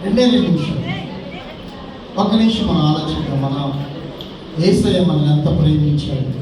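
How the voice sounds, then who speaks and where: A man's voice: on the high side, a little fast. A man preaching to children and leading prayer, exhorting them in short phrases and rhetorical questions. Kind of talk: children's sermon and led prayer. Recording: echoey hall, distant mic, noisy crowd.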